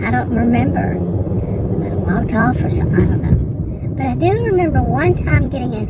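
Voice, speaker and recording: A woman's voice: high-pitched, distant mic. Texan woman rambling over car noise. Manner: rambling, digressive, self-correcting. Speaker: vlogger. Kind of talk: casual rambling chat. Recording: loud traffic noise, voice off-mic.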